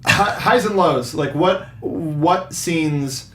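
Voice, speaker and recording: A man's voice: on the high side, slow pace, fairly bright tone. Echoey male talk show host. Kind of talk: casual film review panel chat. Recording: echoey room with faint noise.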